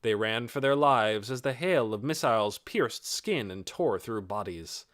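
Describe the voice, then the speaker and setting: Male narrator for fantasy audiobook: dramatic, lively intonation, deliberate phrasing, mid pitch, close dry sound. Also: dry recording. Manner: measured, deliberate phrasing, expressive.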